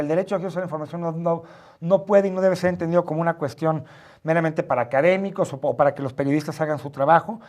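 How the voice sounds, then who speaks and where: A Mexican man co-hosting a studio discussion, speaking haltingly and often checking for agreement at the ends of phrases. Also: a little high, a little mellow. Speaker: talk show co-host and free-speech advocate. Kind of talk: studio discussion, spontaneous commentary. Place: internet TV studio.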